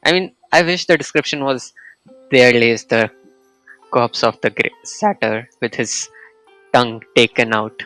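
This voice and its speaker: A male gaming streamer with a mid-range voice chats casually over his playthrough. He talks in a halting, conversational way, with faint game music behind him. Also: mid-range voice, expressive, fairly bright tone, dry recording, low-quality recording. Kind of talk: casual gameplay commentary.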